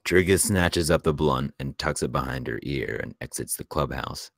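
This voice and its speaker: Man, very low voice, scripted narration, screenplay stage directions, close dry sound. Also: moderate pace, creaky, dry recording.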